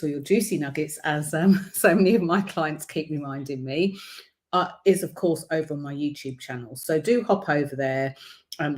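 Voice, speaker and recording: A woman hosting a livestream as a visibility coach, speaking casually to viewers with a croaky throat and many ums. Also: low-pitched, a little mellow. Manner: chatty, many ums, encouraging. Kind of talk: casual livestream talk to camera.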